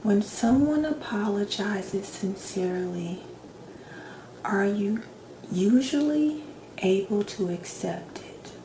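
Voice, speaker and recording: Slow, soft-spoken ASMR woman doctor. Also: mid-range voice, lively intonation. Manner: gentle, hushed, often near-whispered, long pauses between questions. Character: calm doctor giving a personality assessment. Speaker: ASMR roleplayer playing a doctor. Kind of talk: soft-spoken ASMR roleplay, clinical questioning. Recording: roomy echo, clear background noise.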